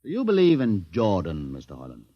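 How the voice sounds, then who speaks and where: An urbane, commanding older rebel aboard a starship in an old radio drama. His husky voice swings theatrically with condescension and rings in a long, hall-like reverb. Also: fairly low pitch, huge pitch swings, fairly quick, lo-fi sound. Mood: probing. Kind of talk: 1950s radio drama acting.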